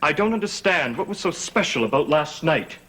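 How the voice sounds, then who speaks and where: Proud, defiant young manor heir. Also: male voice, a little high, expressive, moderate pace, fairly bright tone. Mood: suspicious, indignant. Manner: imperious, questioning, indignant. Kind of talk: 1970s television soap opera acting. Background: background music.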